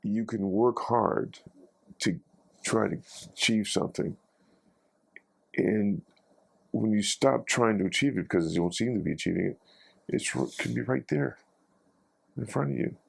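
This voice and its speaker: A man with a very gravelly, husky voice on the low side muses in an interview. His phrasing is halting and philosophical. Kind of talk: reflective personal interview.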